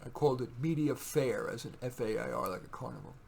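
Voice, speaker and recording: A man's voice: mid-range voice, quick pace, gravelly, darkish tone, breathy edge. A man reading his own poetry, theatrical and emphatic in the verse, with casual asides in between. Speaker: poet reading own work.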